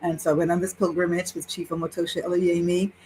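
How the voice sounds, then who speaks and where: Lower-voiced woman in her sixties telling stories. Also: fast, a little hiss or hum, low-quality recording. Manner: animated, laughs while telling a story. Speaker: guest, Yoruba priestess. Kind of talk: personal storytelling in conversation.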